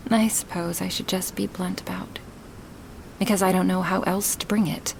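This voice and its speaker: A woman voicing a gentle, affectionate dragon woman in an intimate ASMR roleplay, breathy and hesitant, often slipping into whispers. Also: on the low side, fairly even intonation, background noise. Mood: hesitant, gently frank. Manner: slow, hesitant, with sighs and pauses. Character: gentle dragon woman, awkward, affectionate toward her human. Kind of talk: ASMR roleplay, spoken softly and intimately to the listener.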